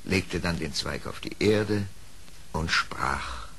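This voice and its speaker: A man with a lowish voice narrates a fairy-tale radio play. He takes his time, very slow and measured, on an old record with faint noise. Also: bright, forceful tone. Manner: measured, literary storytelling.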